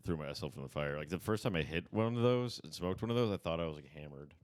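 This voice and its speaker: A man's voice: sits low, lively intonation, fast talker. A man co-hosting a comedy podcast in a studio, joking and laughing with frequent restarts. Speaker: podcast co-host. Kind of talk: casual comedy chat.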